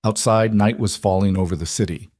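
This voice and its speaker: A man's voice: lowish voice, steady tone, brisk, darkish tone, no room sound, clean studio sound. A man reading a scripted dramatic romance story as an audiobook narrator.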